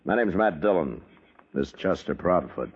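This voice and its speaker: A very deep voiced frontier marshal, dry and terse, old muffled radio western. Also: male voice, expressive, moderate pace, breathy edge. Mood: dry, probing. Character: stern, steady U.S. marshal in the Old West, middle-aged man. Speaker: lead actor and narrator. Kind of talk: 1950s radio western acting. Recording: muffled vintage radio recording with music.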